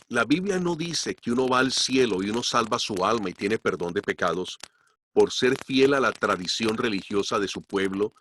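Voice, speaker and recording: A man preaching a sermon as pastor, slowing down and pushing up his voice to stress key points. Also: on the low side, a bit bright, slight background noise. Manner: emphatic, slows down to stress points. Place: church.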